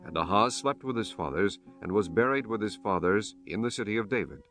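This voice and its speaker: A man narrating a dramatized Bible audiobook in a solemn, measured, formal way. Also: on the low side, expressive. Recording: soft music bed under the voice.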